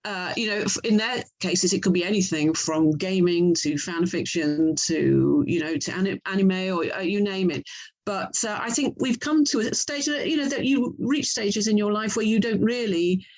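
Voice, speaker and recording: A woman's voice: medium pitch, moderate pace, a little mellow, poor audio. Middle aged english lady, chatty and thoughtful, being interviewed about her work as a writer. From England. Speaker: writer and audio drama producer, interview guest. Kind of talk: casual interview talk.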